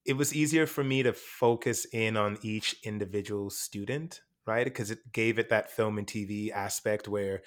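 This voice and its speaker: A young man born in Jamaica speaks in a fairly low register. He talks casually and reflectively in an interview, circling back with fillers and restarts as he thinks. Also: normal speed, few pauses. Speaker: actor and teacher.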